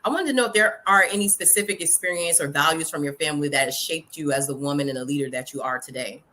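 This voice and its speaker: A woman's voice: low voice, fast, bright, forceful tone. A woman news publisher hosting an interview, chatty and encouraging, with plenty of fillers.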